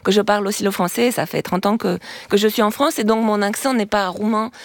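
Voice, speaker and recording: A woman's voice: medium pitch, fairly even intonation, a little fast, no echo. A woman from Romania, whose first language is Romanian, explaining as a guest on a radio talk show, earnest and emphatic. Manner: earnest, emphatic, many restarts. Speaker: linguist guest.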